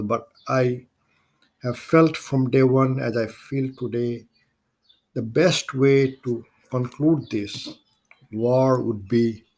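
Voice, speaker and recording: A man from Nepal with a Nepali accent hosts a solo podcast, speaking in halting, deliberate phrases. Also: mid-range voice, a little mellow. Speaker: podcast host.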